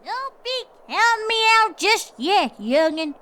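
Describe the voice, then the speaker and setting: A woman's voice: very high voice, big pitch swings, unhurried, sharp tone, voice pushed up, exaggerated voice. A woman voicing a feisty, stubborn elderly pony grandmother with a defiant, folksy manner in a story reading.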